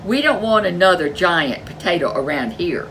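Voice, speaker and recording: A woman's voice: lowish voice, very animated, normal speed, fairly bright tone, a little hiss or hum. A woman voicing a crowd of worried villagers in a storybook folk tale. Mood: pleading. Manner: pleading, wailing.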